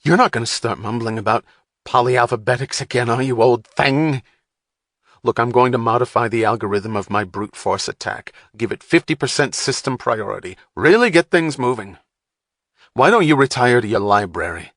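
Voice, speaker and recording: A man's voice: medium pitch, animated, normal speed, fairly bright tone, no echo, studio quality. A man voicing an irritable, profane male computer technician who snaps at everyone. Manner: snapping, abrasive, exasperated.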